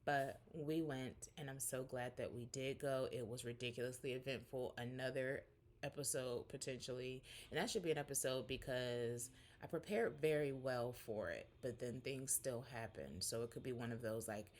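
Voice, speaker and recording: A woman's voice: very deep voice, normal speed. A woman in her forties recording a casual, rambling catch-up vlog at home, talking candidly to her viewers. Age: 40s. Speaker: vlogger.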